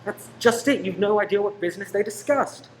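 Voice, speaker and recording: A man's voice: high voice, fairly quick, a little mellow, reverberant, lightly acted, rough recording. A man playing an earnest, conflicted undercover police detective, pleading in a radio play. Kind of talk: amateur radio play acting. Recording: faint background noise.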